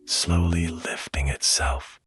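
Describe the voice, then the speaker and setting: A man narrating a bedtime story in a hushed, gentle and soothing manner, taking his time. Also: low voice, little pitch movement, slow pace, husky. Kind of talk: slow, whispered bedtime storytelling. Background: music.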